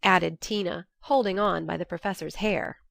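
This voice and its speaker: Low-pitched woman narrating a classic novel. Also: fairly even intonation, moderate pace, gravelly, a bit bright, no room sound, studio quality. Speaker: audiobook narrator. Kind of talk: scripted narration of a classic novel as lively first-person letters.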